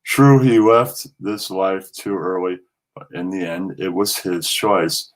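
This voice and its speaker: A man's voice: low voice, steady tone, slow pace, low-quality recording. A man speaking as a show guest in a plain, unpolished manner. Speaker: show guest reading his own poem. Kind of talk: plain poem recital and casual remarks.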